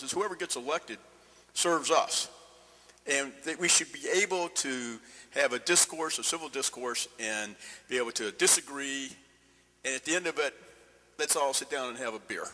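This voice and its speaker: A man's voice: mid-range voice, sharp tone. A man hosting a town meeting, speaking informally to the room from an indoor meeting space. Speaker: radio show host. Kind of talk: informal address to a public meeting. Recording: echoey meeting room, long reverb.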